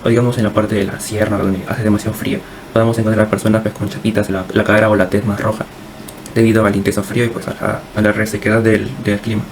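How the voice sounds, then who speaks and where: A young Peruvian man presents like a university student in class. His voice is lowish and flat in pitch, and he talks quickly but haltingly, repeating words as he goes. There is faint noise behind him. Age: young adult.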